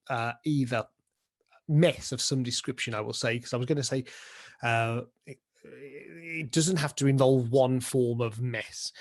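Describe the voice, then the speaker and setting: British man chatting enthusiastically in an interview. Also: medium pitch. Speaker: pantomime dame and director being interviewed. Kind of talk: casual interview chat about stage craft.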